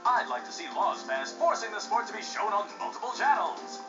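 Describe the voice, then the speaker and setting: A man's voice: sits high, sing-song. A man playing a bombastic, egotistical, bloodthirsty racing TV host in a video game, with showy, hyped announcing. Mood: smug, scheming. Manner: showy, hyped, drawn-out delivery. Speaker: sports show host. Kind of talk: over-the-top sports broadcast announcing. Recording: dull game audio, loud music behind.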